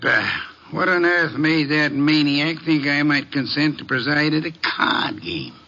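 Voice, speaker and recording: An actor playing a pompous, grouchy genius detective, haughty and orotund, in old-time radio drama. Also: male voice, fairly high pitch, measured pace, pushed and bright. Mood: disdainful. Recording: dull, hissy vintage radio recording.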